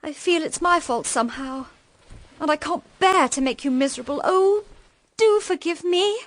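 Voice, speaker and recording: A woman's voice: high voice, lively intonation, normal speed. An actress with a British accent playing a tender-hearted, easily upset young woman in a period radio drama, girlish and hesitant. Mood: apologetic. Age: young adult.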